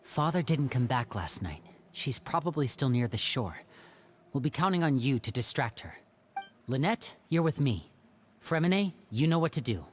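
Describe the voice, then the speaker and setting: Composed young man with a lively lilt, voiced by a man. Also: mid-range voice, normal speed, slight background noise, close to an everyday voice. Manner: calm, reasoned, reassuring. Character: poised young man, calm and persuasive. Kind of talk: video game cutscene dialogue.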